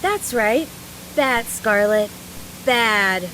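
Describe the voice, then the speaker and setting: A woman's voice: on the high side, expressive, drawn-out words, a bit bright, slight background noise, full character voice. A woman voice-acting a brash, mischievous young woman fighter from a video game, teasing in a playful, folksy, mock-serious way. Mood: mock outrage.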